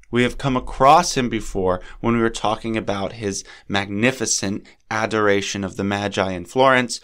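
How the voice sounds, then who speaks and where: Man, low voice, podcast host, measured scripted lecture, slightly scratchy, sniffly from a cold. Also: fairly bright tone. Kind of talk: scripted art history narration.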